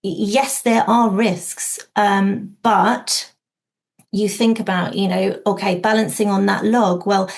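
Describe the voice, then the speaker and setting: Gravelly woman chatting reflectively. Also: medium pitch, steady tone, slow pace. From Wales. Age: 40s. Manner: reflective, lots of fillers and restarts. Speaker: podcast guest, outdoor learning specialist. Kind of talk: casual conversation.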